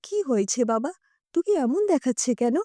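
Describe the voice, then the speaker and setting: A woman's voice: high-pitched, sing-song, moderate pace, dark tone, no echo, studio quality. A woman voicing an anxious, tender mother in an audio story, worried and urgent.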